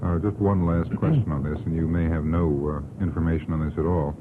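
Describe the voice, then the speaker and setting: A man on a radio panel putting careful, measured questions to a guest. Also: rumbling low, steady tone. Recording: muffled, noisy old radio broadcast tape.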